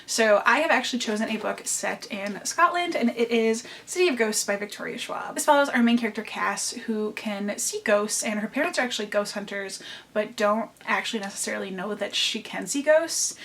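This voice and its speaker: A young woman with a nasal Midwestern American accent talks through her book picks at a medium pitch. She gushes warmly and doubles up words for emphasis, like a chatty book vlogger. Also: fairly quick. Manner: gushing, emphatic repeats. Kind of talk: enthusiastic book recommendations.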